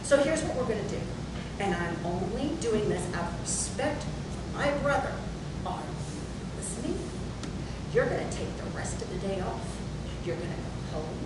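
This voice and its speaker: A far-off, roomy recording with some rumbling noise. An actress plays a domineering woman in charge at a company in a stage drama, dishing out scornful orders and profane scolding. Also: expressive, normal speed, lightly acted. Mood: stern, commanding. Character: blistering, domineering female company boss, bitterly sarcastic. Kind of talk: dramatic table reading of a stage play. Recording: echoey room, distant mic, noisy rumble.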